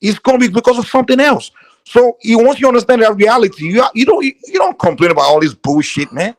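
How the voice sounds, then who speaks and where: A man's voice: very high-pitched, very animated, fast, no room sound. A man from Nigeria with a Nigerian accent, a guest in a heated debate who keeps pressing his point over interruptions. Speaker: guest on a call-in show.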